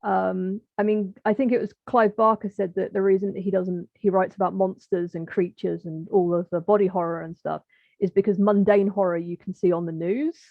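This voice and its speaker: A woman with a gravelly, creaky edge and a mid-pitched voice talks casually as a podcast guest. The sound is dry and a little dull. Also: flat delivery, normal speed, dry recording. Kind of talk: casual chat, reflective.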